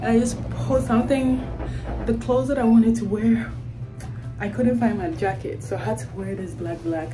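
A woman in her thirties chatting excitedly to her phone camera while walking through city streets and a busy train station. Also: a little high, moderate pace, over music. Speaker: vlogger. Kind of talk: casual walking vlog. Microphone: handheld phone held close. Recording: noisy street and station crowd.

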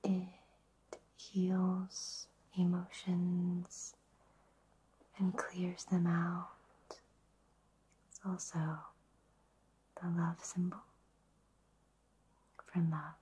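A woman whispers very softly and breathily, in an even, slightly low tone. She guides a relaxation session in ASMR style, soothing and unhurried, leaving long pauses. A faint noise sits behind her voice. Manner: slow, soothing, gently reassuring, with long pauses. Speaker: ASMR Reiki practitioner guiding a session. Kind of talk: whispered ASMR personal attention.

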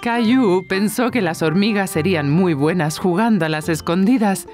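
A woman's voice: fairly low pitch, moderate pace, darkish tone, soft background music, lightly acted. A woman narrating a children's cartoon as a kindly storybook narrator. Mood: storytelling.

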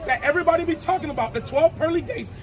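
Brief: high-pitched male street preacher, forceful and repetitive, crowd noise behind. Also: racing. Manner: forceful, repetitive, stammering restarts. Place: city sidewalk at night, outdoors.

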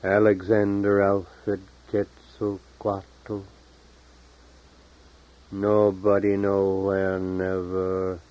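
Very slow, deep-voiced man reading poetry. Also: monotone. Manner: measured, deliberate phrasing with long pauses. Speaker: poet reading own work. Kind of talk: poetry reading, solemn and unhurried. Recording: dull lo-fi 1970s tape recording.

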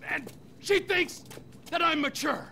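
A man's voice: very high-pitched, unhurried, vocal fry, bright, forceful tone, slight background noise, heavily acted. An actor playing a volatile middle-aged male career criminal who talks in a manic, crude, mocking way in a video game cutscene. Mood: mocking, defensive. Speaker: game character, bearded criminal.

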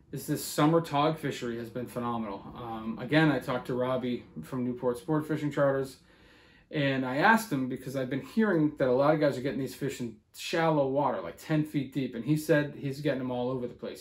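A man in his thirties talks through a fishing forecast at medium pitch, loose and conversational with lots of "uh"s. His voice rings a bit in a roomy living room, picked up by a camera a few feet away. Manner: conversational, with frequent fillers. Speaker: fishing report host.

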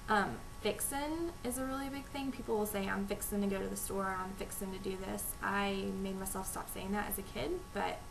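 Young North Texas woman, chatty vlogger, creaky voice, low-volume webcam mic with audible hiss. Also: fairly even intonation, a little fast, few pauses. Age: young adult. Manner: chatty, frequent ums. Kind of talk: casual talk to camera, reading a word list. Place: small room at home, indoors. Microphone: webcam microphone, low volume.

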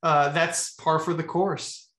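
A man in his thirties, a music teacher, interviews a guest over a video call in a friendly, encouraging way. His voice is somewhat high for a man and sounds roomy, as if picked up by a laptop mic in an open home room. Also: level tone, slow pace, fairly bright tone. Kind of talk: casual interview.

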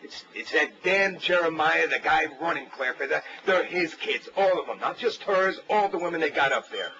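An actor playing a hostile, defensive husband in an audio drama, halting and confrontational. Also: male voice, on the high side, expressive, sharp tone. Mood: agitated, bitter. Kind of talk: naturalistic audio drama acting. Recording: dull field recorder tape, faint noise.

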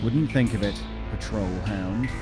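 A man's voice: lowish voice, unhurried, close to an everyday voice. A man playing a cynical, cowardly, sneering criminal in a radio play, with sardonic delivery. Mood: wry. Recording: music under the voice.

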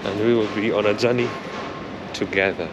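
A young man chatting slowly and thoughtfully as he films a walking travel vlog, first outdoors at a rainy harbor, then inside a concert hall. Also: fairly low pitch, steady tone. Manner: slow, thoughtful, chatty, says he struggles with R and L. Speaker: travel vlogger. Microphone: camera held close at chest height. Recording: street noise behind the voice.

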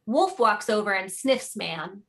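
A woman's voice: medium pitch, animated, a little slow, fairly bright tone. A woman reading stage directions as the narrator of a play, in scripted narration.

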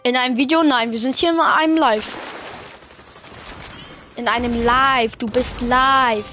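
A woman streaming a video game with friends, excitable, reading chat aloud and laughing often. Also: high-pitched, measured pace, faint music behind. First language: German. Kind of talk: casual live-stream gaming chat.

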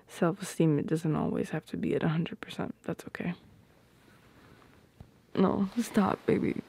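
A woman voicing a tender, playful, possessive girlfriend in an ASMR roleplay, speaking softly and intimately close to the microphone in a breathy, often whispered voice. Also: on the low side, no echo, full character voice. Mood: playful, affectionate. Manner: soft, intimate, unhurried, teasing. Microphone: close microphone, dry.

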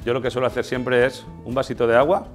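A man's voice: mid-range voice, flat delivery, fast talker, faint music behind. A middle-aged man with an accent from Spain, explaining a cooking demonstration informally and instructively as a guest chef. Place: indoors in a tiled kitchen set.

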